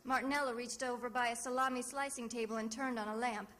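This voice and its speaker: Reverberant old radio: woman as hard-boiled American female sleuth, high voice, wry and sure of herself, loud music behind. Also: fairly even intonation, quick pace, natural voice. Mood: wry narration. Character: wisecracking, self-assured female private detective. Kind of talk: 1940s radio detective drama, first-person narration and dialogue.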